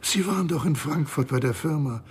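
An actor playing a smug, middle-aged interrogator in a crime radio drama, mock-polite and needling in a husky, gravelly voice. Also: male voice, a little high, animated, brisk. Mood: smug, condescending. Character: smug, menacing male consultant interrogating his captive.

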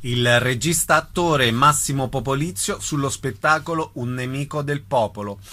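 A man's voice: medium pitch, moderate pace, pushed and bright. A man reading a scripted radio announcement.

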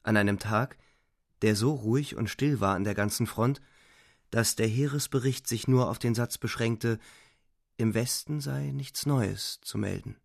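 An actor playing a weary, resigned narrator of a war story in a radio drama, calm, measured and solemn. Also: male voice, medium pitch, creaky, no room sound, clean studio sound. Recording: music behind the voice.

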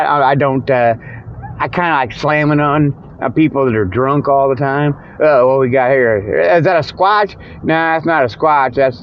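A man hosting a podcast, rambling casually in a folksy, jokey, meandering way while driving in a car. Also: mid-range voice. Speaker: podcast host. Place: inside a moving car. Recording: dull lo-fi, car engine noise. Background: vehicle motor noise.

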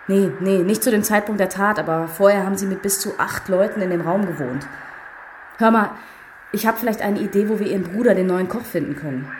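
An earnest woman detective played by an actress in a radio crime drama. She briefs in a medium-pitched, businesslike voice with clear ambient noise around her. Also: a little fast, natural voice. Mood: matter-of-fact. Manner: matter-of-fact briefing. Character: businesslike police investigator woman, brisk and earnest.

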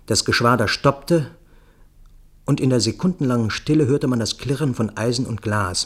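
Low-voiced man narrating a literary radio play.

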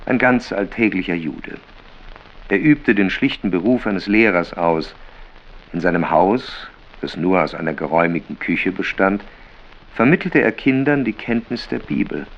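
An actor as a storyteller, reading a literary tale in a measured, plain way with rain behind him. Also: male voice, sits low, lo-fi sound. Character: male storyteller of a biblical-toned literary tale. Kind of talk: literary narration.

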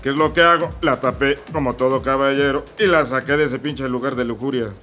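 A man's voice: medium pitch, steady tone, fairly quick, bright, forceful tone, faint music behind, close to an everyday voice. A man playing a crude, lecherous drunk heckler in a comic radio court sketch, with a Mexican Spanish accent. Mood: protesting. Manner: leering, rowdy and crude.

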